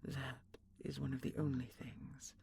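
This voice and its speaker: A man playing an ancient, secretive but tender dragon in an audio roleplay, with a gravelly, often whispery voice and a measured, intimate delivery. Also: medium pitch, little pitch movement, a little mellow, a little hiss or hum. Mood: uneasy dread.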